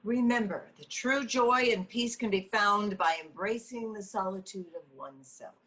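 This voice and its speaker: A woman's voice: medium pitch, moderate pace, a bit bright, close to an everyday voice, rough recording. A woman acting an earnest, easily exasperated leader of a women's goddess meeting in a comedy script read over a video call. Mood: earnest, preachy. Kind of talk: live comedy screenplay table read. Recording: online call with faint noise.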